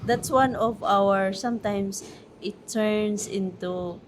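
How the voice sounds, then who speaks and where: A woman with a Filipino accent chatting casually to camera as a vlogger, pausing often to search for English words. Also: mid-range voice, fairly even intonation, a little hiss or hum. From Philippines.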